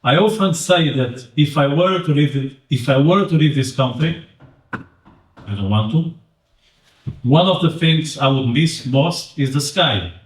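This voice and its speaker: A man's voice: on the high side, lively intonation, unhurried. A man with a Portuguese accent giving a chatty, joking talk and quizzing the audience. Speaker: invited speaker. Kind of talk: interactive public talk. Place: community event room. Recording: roomy echo, mic at a distance.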